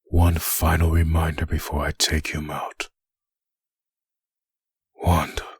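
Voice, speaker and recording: Very deep, raspy undead antihero. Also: male voice, flat delivery, no echo, exaggerated voice. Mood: vengeful. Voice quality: very deep gravelly rasp. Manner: grim, slow internal monologue. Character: brooding undead male antihero seething with hatred. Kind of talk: comic dub dramatic narration.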